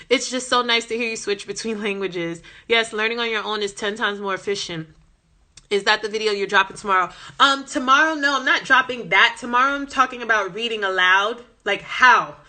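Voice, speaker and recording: A woman's voice: medium pitch, sharp tone. A woman with a Southern American accent chatting passionately on a phone live stream, reading viewer comments as she goes. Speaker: language-learning Instagram host.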